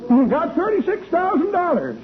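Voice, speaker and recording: Chipper rambling old-timer, folksy tall-tale teller, swooping delivery, tinny vintage radio comedy sound. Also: male voice, very high voice, wildly swooping pitch, normal speed, exaggerated voice. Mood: cheerful, boastful. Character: cheerful old codger spinning tall tales. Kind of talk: 1940s-50s radio comedy acting.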